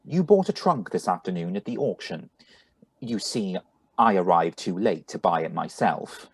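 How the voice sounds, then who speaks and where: A man's voice: low voice, expressive, normal speed, breathy edge, dry recording, heavily acted. A man playing a shady, insistent little stranger in a radio play reading, formal and courteous with menace underneath. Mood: oily, polite.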